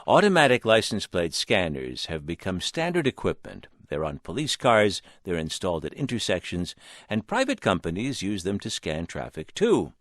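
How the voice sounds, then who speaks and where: A man's voice: low voice, fairly bright tone, dry recording, studio quality. A man reading scripted narration in the manner of a news report.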